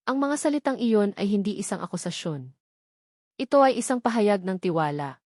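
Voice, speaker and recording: A woman's voice: medium pitch, expressive, normal speed, darkish tone, dry recording. Woman narrating a dramatic story, dry sound.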